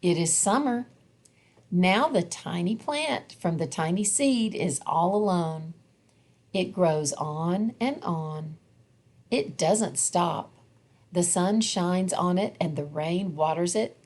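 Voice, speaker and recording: A woman reads a picture book aloud for young children. Her voice sits a little low, and she takes her time with an expressive, measured delivery, giving each phrase room. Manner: expressive, measured, deliberate storytelling. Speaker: storybook reader.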